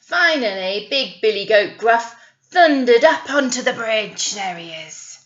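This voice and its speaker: Bright-voiced British woman telling children stories. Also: on the high side, measured pace, highs cut off. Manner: questioning, coaxing, expressive. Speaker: teacher reading to young children. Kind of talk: animated children's storytelling with asides.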